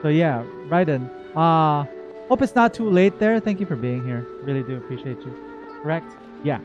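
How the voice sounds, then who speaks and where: Casual male streamer, highish voice, muffled audio. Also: expressive, normal speed. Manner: rambling, informal, self-correcting. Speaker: livestream host. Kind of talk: casual chat to viewers, game reaction. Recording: dull, muffled audio with faint music.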